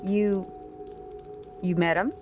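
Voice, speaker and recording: A woman's voice: mid-range voice, fairly even intonation, slow, a little mellow, faint music behind, lightly acted. An actress playing a demanding, ambitious middle-aged mother in an audio drama, with an insistent, pointed delivery. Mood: surprised, guarded.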